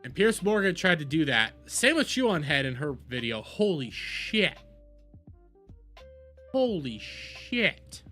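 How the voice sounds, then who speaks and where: Guy streamer, bright voice a bit on the high side, swears a lot, blunt rambly takes, music behind. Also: very animated, takes it slowly. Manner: blunt, profane, rambling, emphatic. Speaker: livestream host and reactor. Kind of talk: casual commentary, reacting to news and trailers.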